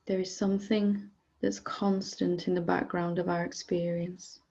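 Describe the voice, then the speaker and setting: A woman guides a meditation in a low, soft-edged voice with a mellow tone. She speaks calmly and gently, leaving space between phrases as she instructs. The recording is slightly dull and muffled. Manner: gentle, calm, unhurried with long pauses. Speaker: meditation teacher.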